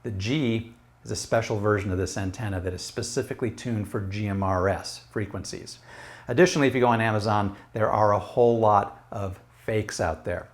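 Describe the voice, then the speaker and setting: A man's voice: fairly low pitch, fairly even intonation, a little fast. A middle-aged American man giving a casual, explanatory product review to camera in a conversational way. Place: small room at home. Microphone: lapel mic.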